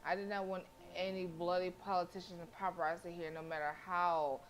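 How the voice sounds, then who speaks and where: A woman's voice: on the low side, little pitch movement, a little fast, a little hiss or hum. A woman live streamer reading a visual novel aloud expressively, breaking in with slangy, casual interjections. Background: game music.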